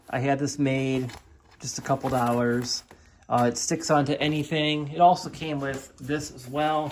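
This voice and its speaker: A man's voice: mid-range voice, a little hiss or hum. An American man hosts a casual gear review and walkthrough, chatting informally to viewers as he explains things for beginners. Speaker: host of a product review video.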